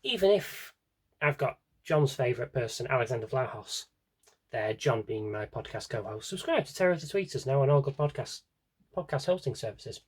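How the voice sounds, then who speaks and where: A man's voice: medium pitch, lively intonation, brisk, slightly rough. A man with a British accent chatting casually and wryly through a rambling show-and-tell as a vlogger, with self-deprecating asides. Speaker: vlogger showing a CD collection.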